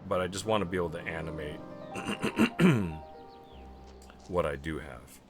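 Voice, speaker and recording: A man streaming a video game live, chatting in a rambling way and clearing his throat often. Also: deep voice, sing-song, measured pace. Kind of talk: live let's play commentary. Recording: game music clearly audible underneath. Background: game music.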